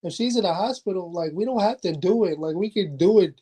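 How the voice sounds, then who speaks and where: A man co-hosting a casual video call chat, talking in a warm, encouraging way and often repeating words as he goes. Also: sits high, lively intonation, fairly quick, breathy edge. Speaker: co-host.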